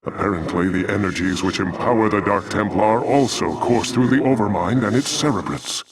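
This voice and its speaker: An actor playing a noble, calm and resolute alien commander in a video game, speaking formally and gravely. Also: male voice, lowish voice, moderate pace, recorded from a distance, crowd noise, lightly acted. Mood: solemn, explaining. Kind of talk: scripted video game acting.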